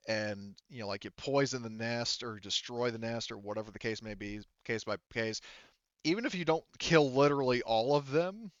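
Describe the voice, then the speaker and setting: A man's voice: medium pitch, a bit bright, clear, resonant tone, no echo, highs cut off. A man narrating a tabletop game as game master, explaining casually and conversationally with lots of "you know" and "like". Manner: hedging, conversational, heavy use of "you know" and "like".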